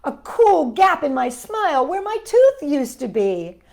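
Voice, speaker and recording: High-voiced, animated woman reading to children. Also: a little slow, vocal fry. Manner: expressive, playful, asks listeners questions. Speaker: children's storytime host. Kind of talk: animated read-aloud and chat for young children.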